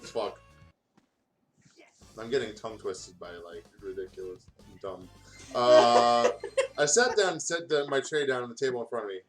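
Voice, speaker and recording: A man's voice: medium pitch, animated, normal speed, long pauses, faint music behind. A man doing a comedic read-aloud of fanfiction, stumbling over words and breaking off to comment. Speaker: fanfiction reader on a gaming show.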